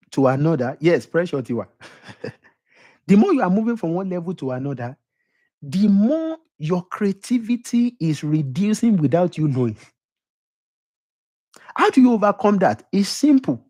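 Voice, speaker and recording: A man's voice: on the high side, mellow. A middle-aged man with a Nigerian accent giving an emphatic motivational lecture, repeating his points and putting questions to the audience. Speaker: motivational speaker.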